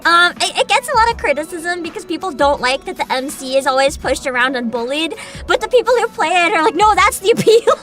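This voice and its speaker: A woman's voice: very high-pitched, fairly quick, hardly any pauses. A young woman streaming casually, answering chat questions with frequent giggles and a playful mock-boss attitude. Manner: giggly, animated, frequent laughter and restarts; playful mock-CEO boasting. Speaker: vtuber streamer.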